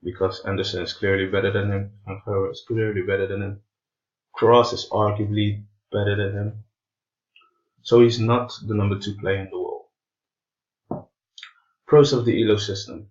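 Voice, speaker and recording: A man, a native Portuguese speaker with non-native English, giving an informal explainer in a halting, hesitant way, searching for words. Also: lowish voice, flat delivery, highs cut off. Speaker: presenter of an explainer video.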